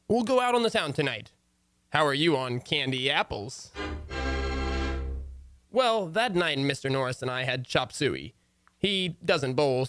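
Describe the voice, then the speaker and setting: Actor as wry husband, bright forceful voice. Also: male voice, fairly high pitch, no echo. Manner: wry, hesitant. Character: easygoing, wisecracking husband narrating his marital troubles. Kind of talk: old-time radio comedy acting and narration.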